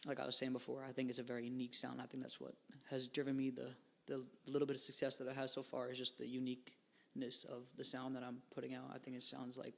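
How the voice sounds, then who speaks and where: A young man in his twenties sits in as a podcast guest and talks loosely, stringing thoughts together with fillers and slang. His voice sits at an ordinary middle pitch. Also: monotone, fast talker, vocal fry. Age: 20s. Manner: rambling, filler-heavy, laid-back slang. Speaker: guest musician. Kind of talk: casual podcast interview.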